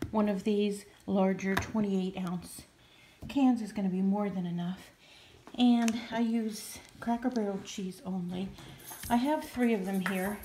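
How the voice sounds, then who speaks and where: Middle-aged woman, homey kitchen vlogger, phone mic, noisy room. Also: medium pitch. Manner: homey, chatty, talks while cooking. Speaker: home cooking vlogger. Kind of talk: casual cooking vlog narration. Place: home kitchen and living room. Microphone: phone camera held in hand.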